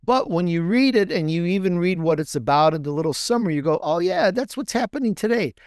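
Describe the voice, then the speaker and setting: A man's voice: high-pitched, expressive, fast talker, no echo, clean studio sound. A man speaking as an interview guest in a casual, rambling storytelling way, often rephrasing himself mid-thought. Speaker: podcaster and author guest.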